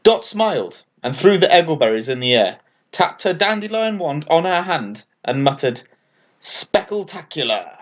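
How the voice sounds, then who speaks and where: Man, children's story narrator, fairly high voice, very varied intonation, slightly dull recording. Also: moderate pace. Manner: expressive storytelling. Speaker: author and story reader.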